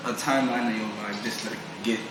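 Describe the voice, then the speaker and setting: A man's voice: mid-range voice, fairly even intonation, quick pace. A man co-hosting a podcast, chatting in an informal, slangy, playful way as he interviews a guest. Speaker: podcast co-host. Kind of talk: casual interview chat. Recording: very echoey room, faint noise.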